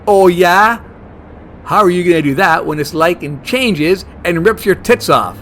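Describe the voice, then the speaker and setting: An actor playing a fussy, germophobic monster hunter, blunt and bossy, in a comedy horror audio drama. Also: male voice, high-pitched, a little slow, slight background noise. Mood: defiant. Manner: deadpan, argumentative.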